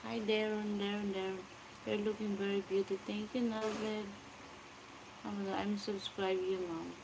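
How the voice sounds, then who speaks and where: A woman's voice: medium pitch, flat delivery, gravelly. A Filipino woman in her forties hosting a casual livestream. She reads out viewer comments and greets people by name, talking into a phone. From Philippines. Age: 40s. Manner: greets viewers by name, slow and chatty, mixes in Tagalog. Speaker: livestream vlogger. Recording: noisy room ambience.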